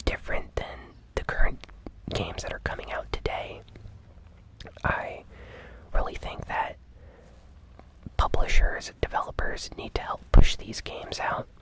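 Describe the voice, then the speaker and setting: A host whispering a casual gaming news recap in a breathy, conversational ASMR style.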